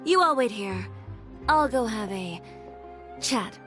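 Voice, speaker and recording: A woman playing a brusque, self-assured female video game character with a blunt, deadpan manner and dry wit. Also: on the high side, slow pace, faint music behind, heavily acted. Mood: cool, wry.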